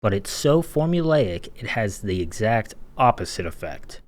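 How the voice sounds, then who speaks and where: A man's voice: on the low side, sing-song, moderate pace, a little hiss or hum. A man giving informal, exasperated and sarcastic commentary as a video game critic, swearing freely. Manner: sarcastic, exasperated, foul-mouthed. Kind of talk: informal analysis commentary over gameplay.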